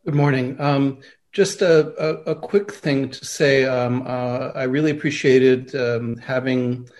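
Class participant: man of middle pitch, halting and appreciative, on a video call. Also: steady tone, a little mellow. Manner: hesitant, many ums, appreciative.

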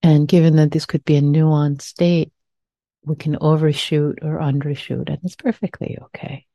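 A woman with a Persian accent, a meditation teacher speaking softly and slowly with many pauses and fillers, guiding a reflection. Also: sits low, steady tone, dry recording. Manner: soft-spoken, pausing, with frequent fillers. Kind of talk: guided reflection after meditation. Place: meditation center hall. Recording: muffled lo-fi recording.

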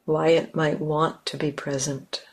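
A woman teacher leading a guided meditation in a gentle, unhurried manner, leaving long pauses between phrases. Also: sits low, flat delivery.

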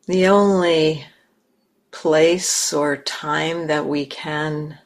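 A woman leading a guided meditation as a teacher, speaking in short, measured phrases with pauses between them. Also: low voice, steady tone.

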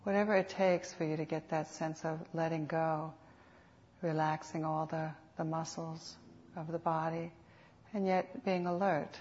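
A woman teaching guided meditation in an unhurried, soothing manner with reflective pauses, on a dull lo-fi recording. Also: deep voice, little pitch movement, a little mellow. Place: meditation center. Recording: dull, lo-fi live talk recording.

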